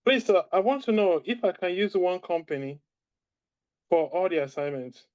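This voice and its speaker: A man's voice: high voice, lively intonation, normal speed, a little mellow, no echo, lo-fi sound. A man from Africa, a student, politely asking his lecturer a question and calling him sir. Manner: polite, says "sir" often.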